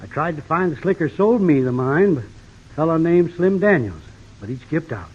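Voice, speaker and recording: Old homesteader, rural Western American accent, higher-pitched, folksy, wary, muffled old radio drama. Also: male voice, sing-song, moderate pace, breathy edge. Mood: rueful. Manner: folksy, drawling, plain. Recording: dull vintage radio broadcast recording.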